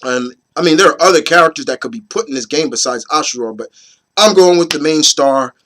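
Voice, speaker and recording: A hoarse man in his thirties, getting over a cold, hosting his opinion video in a rambling, casual way with many fillers and restarts. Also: on the high side, animated, fairly quick, a bit bright. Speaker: host of an opinion video series. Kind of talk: casual chat to camera.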